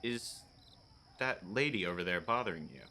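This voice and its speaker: An actor playing an earnest, rule-bound policeman in a radio play, with a halting delivery. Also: fairly low pitch, expressive, bright, forceful tone, a little hiss or hum. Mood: stiff, courteous.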